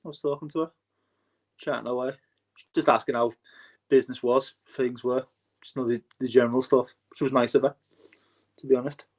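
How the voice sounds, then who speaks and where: A man's voice: steady tone, fairly quick, pauses often, darkish tone. Joking man from Cheshire. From Cheshire, England. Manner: joking, bursts into high laughter. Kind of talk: casual banter.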